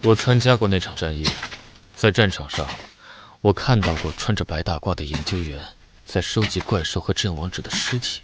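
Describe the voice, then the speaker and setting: An actor playing a stern, powerful veteran fighter in an animated drama, questioning coldly and accusingly. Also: male voice, low voice, moderate pace, background noise, close to an everyday voice. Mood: accusing, intense. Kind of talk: animated web drama dubbing.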